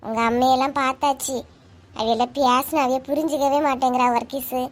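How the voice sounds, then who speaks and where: A woman plays a cartoon village woman with a very high voice and a Kanyakumari Tamil accent. She is an earnest peacemaker who explains things insistently in homely, colloquial speech. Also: steady tone, brisk, close to an everyday voice. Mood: pleading, indignant. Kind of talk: cartoon comedy voice acting.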